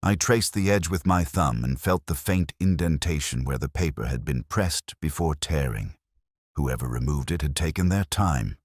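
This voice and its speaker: A man voicing a brooding, introspective police detective who recounts a case in measured, grave, literary first-person narration for a mystery audio drama. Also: rumbling low, expressive, no echo, studio quality. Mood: pensive, grave.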